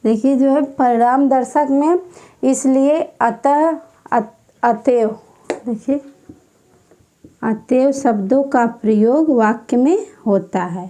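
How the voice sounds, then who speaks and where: A woman teaching an exam-prep grammar class, repeating each word again and again as if for dictation. Her voice sits high but has a soft, mellow tone, and she takes her time over every phrase. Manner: slow, repetitive dictation, repeating each term several times.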